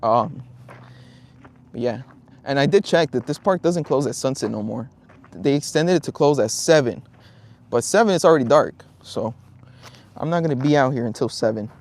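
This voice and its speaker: A man's voice: mid-range voice, animated, normal speed, pauses often, darkish tone. A young man from Southern California chatting casually on a fishing livestream outdoors at a lake, reading out viewer comments in a laid-back, rambling way with lots of ums.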